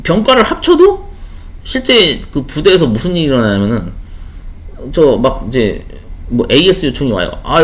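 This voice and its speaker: A man teaching exam questions in an informal lecture style, chatty, repeating key terms and explaining with everyday examples. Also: medium pitch. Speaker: online instructor for a certification exam.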